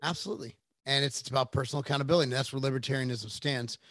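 A man's voice: medium pitch, rapid-fire, a bit bright, dry recording. Blunt middle-aged man, dry close sound. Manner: blunt, emphatic, swears casually. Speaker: podcast host. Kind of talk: casual political podcast chat.

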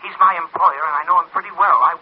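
Nervous husband on tinny telephone. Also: male voice, on the high side, quick pace, husky. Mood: anxious. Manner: hesitant, anxious. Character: nervous, evasive husband with something to hide. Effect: telephone filter. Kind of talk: 1940s-50s radio acting. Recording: thin phone-filtered old radio, faint hiss.

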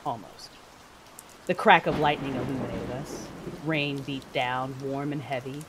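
A woman's voice: a bass voice, steady tone, a little slow, background noise. A woman playing an intense, battle-hardened Sith mother who narrates her own story theatrically in an audio drama. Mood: brooding, tense. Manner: brooding, theatrical, emphatic outbursts. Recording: music bed with rain sounds behind.

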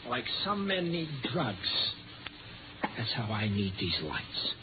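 A man's voice: mid-range voice, sing-song, phone-quality sound. Noisy old radio, desperate frightened man. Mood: anguished. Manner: anguished, halting, dramatic delivery. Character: desperate, panic-stricken man terrified of the dark. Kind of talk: 1950s radio drama acting.

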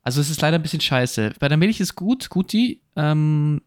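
A man's voice: on the high side, expressive, normal speed, no echo, studio quality. A young man, co-hosting a podcast in casual, playful chat and steering the talk. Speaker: podcast co-host.

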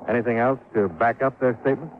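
An actor playing a terse, dry, skeptical American insurance investigator in a 1950s radio detective drama, clipped and probing. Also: male voice, mid-range voice, fast talker, close to an everyday voice. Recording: noisy, tinny 1950s radio recording.

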